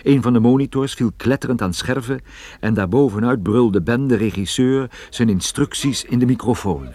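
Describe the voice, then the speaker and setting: An actor playing a wry private detective who narrates his own story in a measured, scripted radio drama style. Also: medium pitch, mellow, no echo, lightly acted. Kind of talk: scripted first-person radio play narration.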